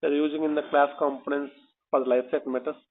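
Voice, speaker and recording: A man's voice: on the high side, flat delivery, quick pace. A man with an Indian accent conducting a technical job interview by telephone, pressing with probing, repeated questions. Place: phone call. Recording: tinny narrowband telephone line.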